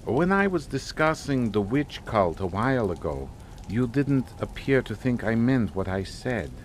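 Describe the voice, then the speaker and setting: An actor playing a suave, sinister aristocratic count in a radio suspense drama, speaking in a formal, courtly, deliberate way. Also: male voice, mid-range voice, big pitch swings, slight background noise. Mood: ominous, measured.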